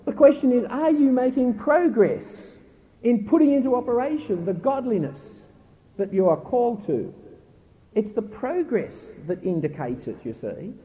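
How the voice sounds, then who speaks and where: A middle-aged man preaching a sermon in an earnest, emphatic way with a naturally loud voice, asking his listeners pointed questions. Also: very high voice, sing-song, moderate pace. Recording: tinny phone-like recording with faint noise.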